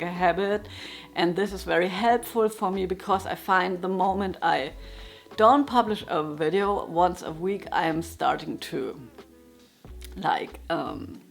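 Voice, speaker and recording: A middle-aged woman speaks English with a German accent, at a voice of middling height for a woman. She reflects in a candid, unhurried way and gently pokes fun at herself as she talks through her routine. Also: medium pitch. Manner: thoughtful, candid, self-deprecating, chuckles now and then. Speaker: jazz guitar teacher and YouTuber. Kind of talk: reflective casual monologue. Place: her home room.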